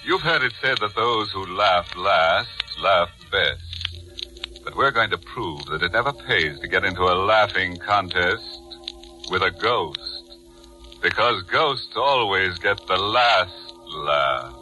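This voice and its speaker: Slow, ghoulish male horror host. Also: low-pitched, lively intonation, exaggerated voice, rough recording. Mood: sardonic, gleeful. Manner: sardonic, mock-friendly, teasing. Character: ghoulish, gleefully macabre horror show host. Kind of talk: 1940s radio horror hosting. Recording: 1940s radio recording with loud music.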